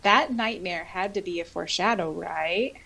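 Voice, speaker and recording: A woman co-hosting a podcast, reading comments aloud in a casual, expressive, giggly way. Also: fairly low pitch, moderate pace, vocal fry, low-quality recording. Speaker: podcast co-host.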